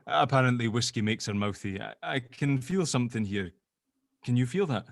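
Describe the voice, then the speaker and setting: A man's voice: medium pitch, expressive, normal speed, gravelly, dry recording, studio quality. A man playing an earnest, exasperated amateur sleuth in a drama table read, hesitant in his explanations. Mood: exasperated, intent.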